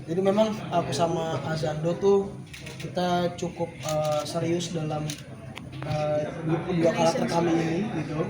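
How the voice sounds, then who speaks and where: A young male actor answering press questions in a relaxed way, hesitating with many fillers. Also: on the high side, measured pace. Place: busy cinema lobby. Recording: echoey, distant, crowd noise. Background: crowd chatter.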